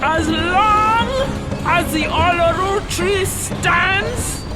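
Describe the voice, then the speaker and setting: An actress playing a defiant defender of community land, declaiming emphatically in a motion comic drama. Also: female voice, extremely high pitch, drawn-out words, over loud music, full character voice.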